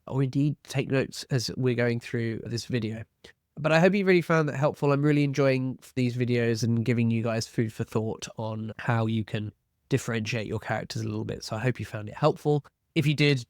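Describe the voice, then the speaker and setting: A man with a mid-pitched voice presents an advice video in a conversational manner. He poses reflective questions to viewers and explains them like a helpful guide. The sound is dry, with very little room in it. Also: no room sound. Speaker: host of a tabletop roleplaying advice channel.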